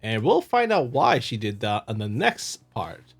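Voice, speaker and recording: A man's voice: medium pitch, sing-song, measured pace, vocal fry, slightly rough, soft background music. A man hosting a playthrough, reading visual novel narration and stumbling over the text, with casual commentary. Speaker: playthrough host.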